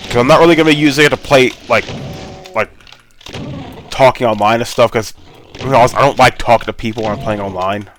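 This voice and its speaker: A man's voice: medium pitch, fast talker, long pauses, a bit bright, faint music behind. A congested man with a sore throat, rambling in a laid-back way as he hosts gameplay commentary over a headset mic. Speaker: gaming channel host.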